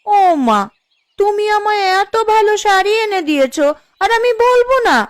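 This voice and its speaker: A woman's voice: extremely high pitch, animated, normal speed. A woman voicing a boastful village housewife in a cartoon story, with a showy, wheedling, homely way of talking. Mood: proud, chatty. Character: boastful, envy-stirring village housewife, sweet to her husband. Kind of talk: Bengali cartoon story dialogue.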